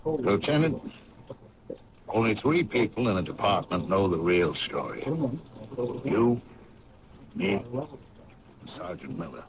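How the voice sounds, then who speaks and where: An actor playing a resigned, pragmatic police captain in a 1970s radio drama, speaking in a measured way with weighty pauses. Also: male voice, on the low side, big pitch swings, a crowd in the background, exaggerated voice. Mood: firm, weary. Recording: dull old radio broadcast, noisy backing.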